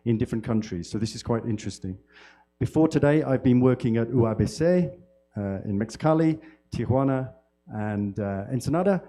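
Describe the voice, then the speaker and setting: Man, guest lecturer, loud voice, mellow tone, friendly and halting, fillers and restarts, lecture hall, handheld mic. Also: mid-range voice. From United Kingdom. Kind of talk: university lecture.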